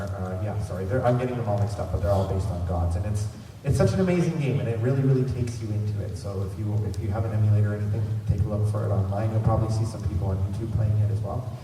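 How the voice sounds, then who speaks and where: A man's voice: on the low side, recorded from a distance. Man hosting in a very echoey, noisy hall. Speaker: panel host running a fan convention trivia session. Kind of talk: informal presentation to a live audience. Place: large indoor convention hall. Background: room ambience and noise.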